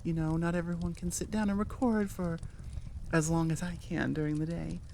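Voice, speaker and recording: Woman rambling on in her outro, laid back and chatty, slightly low voice, faint hiss behind. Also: steady tone, moderate pace, gravelly, mellow. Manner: rambling and chatty, with many fillers. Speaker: audio creator and storyteller. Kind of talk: casual outro chat.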